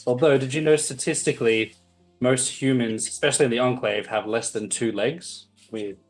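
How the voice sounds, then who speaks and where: A man's voice: medium pitch, normal speed, soft background music. A man playing a tabletop roleplaying game, talking casually in a wordy, dryly pedantic way. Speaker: player.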